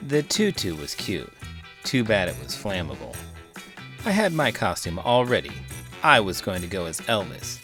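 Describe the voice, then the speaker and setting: A man hosting a children's read-aloud, speaking in an expressive, playful storytelling style. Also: fairly low pitch, moderate pace, faint music behind. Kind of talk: lively children's storytelling and chat.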